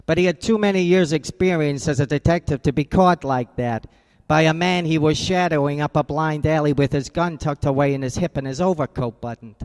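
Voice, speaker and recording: Male actor as tough radio-drama detective, clipped and menacing, slightly raised pitch. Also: fairly quick, darkish tone, clean tone, close to an everyday voice. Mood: accusing. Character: hard-boiled male private eye, cold. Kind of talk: 1940s-style radio drama acting.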